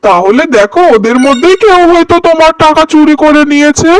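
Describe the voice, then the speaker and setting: A woman's voice: sits high, animated, fairly quick, no echo, studio quality, recorded from a distance. A woman voicing a weary, defensive husband crow in a cartoon, sounding exasperated as he denies blame.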